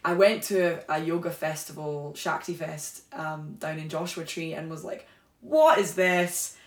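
A woman with a slightly low voice shares her personal story as a podcast guest. She talks in a loose, candid flow, leaning on "like" and "you know". The room around her is echoey. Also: measured pace. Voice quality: warm, flowing delivery with many "like" and "you know" fillers. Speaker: guest, sacred singer.

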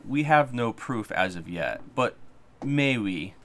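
A man running a tabletop game as game master, talking in a halting way with many pauses. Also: mid-range voice, expressive, fairly bright tone, slight background noise. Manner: halting, full of uhs.

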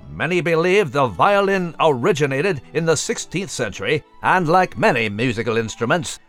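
Pompous cartoon newsreader, fussy and wordy, highly animated pitch, music underneath. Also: male voice. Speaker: news segment presenter. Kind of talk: mock news commentary.

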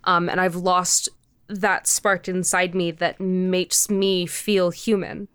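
A woman giving casual, thoughtful interview answers as a guest, haltingly and often rephrasing mid-sentence. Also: fairly low pitch, level tone, fairly bright tone, clean tone, no room sound. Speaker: interview guest, indie audio drama creator.

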